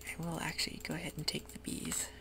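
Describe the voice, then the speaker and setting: A woman giving soft-spoken, whispery commentary while she plays a computer game, with frequent ums. Keyboard typing can be heard close to the mic. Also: fast. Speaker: ASMR gaming creator. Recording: noisy home recording.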